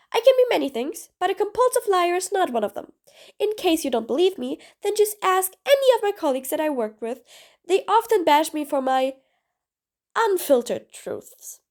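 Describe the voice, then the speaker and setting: A woman voicing a cool, blunt female supervillain in an audio roleplay, talking in clipped, commanding, sardonic lines. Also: extremely high pitch. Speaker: voice actor. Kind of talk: audio roleplay, one side of a dialogue.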